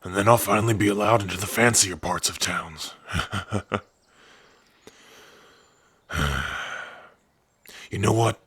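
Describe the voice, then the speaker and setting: Man voicing a deep, husky orc wanderer. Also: steady tone, normal speed, fairly bright tone, no echo. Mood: warm, amused. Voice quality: gruff, raspy. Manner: easygoing, wry, addressing a companion directly. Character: rough, kind-hearted male orc outcast, protective wandering adventurer. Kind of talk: ASMR fantasy roleplay acting.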